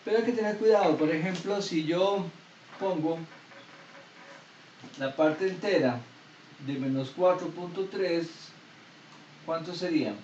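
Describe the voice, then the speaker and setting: A man's voice: fairly high pitch, measured pace, long pauses, darkish tone. A man giving a university math lecture, explaining step by step and asking the students questions. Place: classroom. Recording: echoey room, distant dull mic, faint noise.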